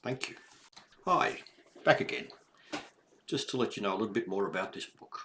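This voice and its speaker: An older man reads a children's story aloud in an animated way, pausing to explain and to ask the young listener questions. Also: lowish voice, slight background noise.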